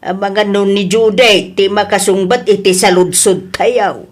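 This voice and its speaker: A woman playing an older mother in an Ilocano audio drama. She speaks at a middle pitch with an Ilocano accent, expressive and readily shocked. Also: a little slow, lightly acted. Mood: concerned. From Philippines.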